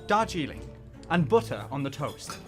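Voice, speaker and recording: A man's voice: a little high, sing-song, moderate pace, music in the background. A man acting a fussy, pompous, gossipy café customer in an audio drama. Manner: particular, pompous. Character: fussy, grumbling, gossipy male café regular.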